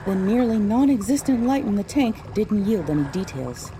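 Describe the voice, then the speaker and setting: A woman reading scripted first-person audiobook narration in a measured, dramatic storytelling manner. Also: medium pitch, very animated, noisy background, natural voice. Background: music.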